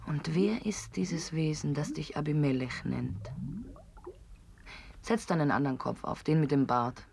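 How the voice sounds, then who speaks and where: A woman's voice: low voice, a little slow, creaky, a little mellow, a little hiss or hum. An actress playing a stern, sceptical, devout woman in a radio drama. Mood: sceptical, intense.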